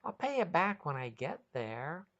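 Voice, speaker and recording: A woman's voice: deep voice, big pitch swings, unhurried, a bit bright, lo-fi sound, pitched above the performer's own voice, heavily acted. A woman voicing a hapless, sheepish hard-drinking man in a storybook reading, hesitant and plaintive. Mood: nervous pleading.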